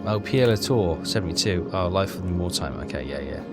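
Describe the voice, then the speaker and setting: A man's voice: deep voice, lively intonation, brisk. A man doing casual game commentary, hesitantly thinking aloud and reading text from the screen. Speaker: game walkthrough player. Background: loud game music.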